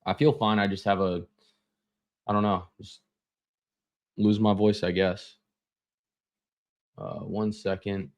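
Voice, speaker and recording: A man's voice: fairly low pitch, level tone, slow, pauses often, a little mellow, highs cut off. A young man losing his voice, running a casual live trading stream with lots of fillers and repeated words.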